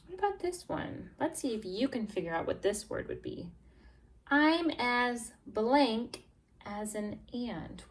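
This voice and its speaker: A woman teacher doing a read-aloud lesson for young English learners, expressive and encouraging, stressing key words and prompting listeners with questions. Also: mid-range voice. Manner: expressive, exaggerated storytelling, emphasizing key words. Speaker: teacher reading a picture book to English language learners.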